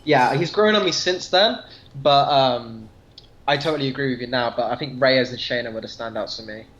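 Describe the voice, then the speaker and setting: An echoey, slightly dull recording of a male podcast co-host. He chats casually through a review, offering his opinions in a conversational voice of middling pitch. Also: moderate pace, a bit bright. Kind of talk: casual comic book review discussion. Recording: muffled, echoey room sound.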